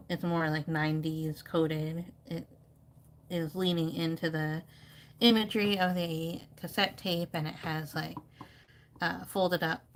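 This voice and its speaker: Low, creaky-voiced woman talking while painting. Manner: rambling, thinking aloud with hedging. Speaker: art streamer teaching painting. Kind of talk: casual live painting commentary.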